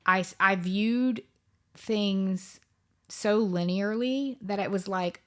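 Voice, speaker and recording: A woman's voice: medium pitch, slow. A woman in her thirties hosting a podcast, talking reflectively in casual chat with many fillers and restarts. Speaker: podcast host.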